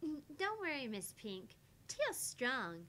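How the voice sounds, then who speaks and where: Woman voicing a high, bright, childlike little girl. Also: sing-song, takes it slowly, slight background noise, heavily acted. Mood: cheerful, reassuring. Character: little girl, cheerful, trusting, reassuring. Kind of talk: reading visual novel dialogue aloud.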